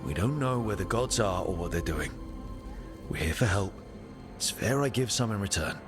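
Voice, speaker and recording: Earnest, lively low-voiced hero played by a man. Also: normal speed, natural voice. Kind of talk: video game cutscene acting. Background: music.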